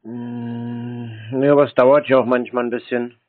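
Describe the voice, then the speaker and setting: A man's voice: medium pitch, level tone, measured pace. A man in a casual phone conversation, answering briefly and noncommittally with lots of small hums. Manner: laconic, noncommittal, brief answers with many "mhm"s. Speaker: call recipient, customer. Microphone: landline telephone. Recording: dry but tinny landline phone audio.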